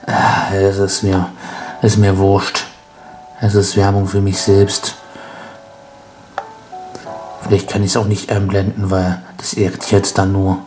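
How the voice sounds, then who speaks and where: A man's voice: low voice, completely flat delivery, takes it slowly, pauses often, over music, poor audio. A man with a cold, sounding stuffy, rambling wryly as he hosts a casual gameplay commentary. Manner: rambling, self-mocking, wry. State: congested with a cold. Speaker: let's play host.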